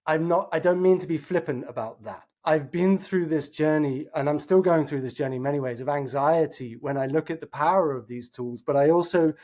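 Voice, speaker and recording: A man's voice: on the high side, brisk, hardly any pauses, a little mellow. Earnest, thoughtful male interview guest. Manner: thoughtful, earnest, many "like" fillers and restarts. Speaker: guest, innovation executive. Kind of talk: casual interview chat.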